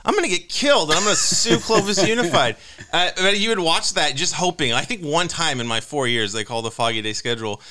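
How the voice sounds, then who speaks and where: Bright-voiced American man telling casual stories. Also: on the high side, lively intonation, quick pace, hardly any pauses. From Fresno, California area. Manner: informal, storytelling. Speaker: talk show co-host. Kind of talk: casual chat, animated anecdotes.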